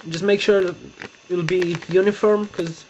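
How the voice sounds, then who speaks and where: A young man from Romania with a Romanian accent, Romanian as his first language, giving a halting step-by-step folding demonstration and searching for words, sitting on a bed in his bedroom and recorded on a webcam. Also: high-pitched, steady tone, a little mellow. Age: young adult. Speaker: tutorial maker. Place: bedroom, seated on a bed indoors. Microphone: webcam close-up. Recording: dull lo-fi webcam audio with faint hiss.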